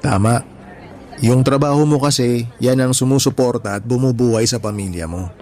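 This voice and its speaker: A man's voice: medium pitch, moderate pace, darkish tone, faint music behind, natural voice. A man playing an earnest, humble, hardworking young husband in a radio drama, talking in a mild conversational way. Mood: earnest, determined. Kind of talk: Tagalog radio drama dialogue.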